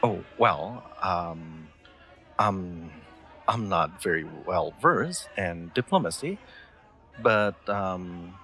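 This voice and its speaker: Man acting an awkward, low-voiced young knight. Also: lively intonation, drawn-out words, sharp tone, faint music behind, exaggerated voice. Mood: earnest, advising. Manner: hesitant, stammering, nervous laughs, formal courtly wording. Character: awkward, sweet, oblivious young male royal knight. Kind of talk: intimate scripted roleplay audio drama.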